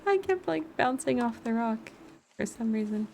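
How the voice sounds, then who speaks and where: A woman's voice: fairly high pitch, lively intonation, normal speed, creaky, mellow, a little hiss or hum. A woman doing casual live gameplay commentary, muttering wry asides as she plays. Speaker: game streamer. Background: game music.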